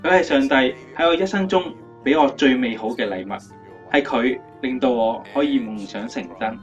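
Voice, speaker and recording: A man's voice: mid-range voice, normal speed, far from the mic, close to an everyday voice. Radio drama: male actor as upbeat, playful young lover and speaker, dull recording over guitar. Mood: tender, moved. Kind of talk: scripted radio drama interview. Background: guitar music.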